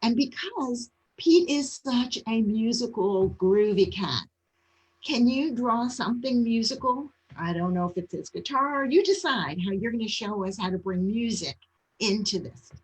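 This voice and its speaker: A woman's voice: a little high, lively intonation, unhurried, poor audio. A woman casually hosting an art session, prompting and affirming in an encouraging way. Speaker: host of a children's art session.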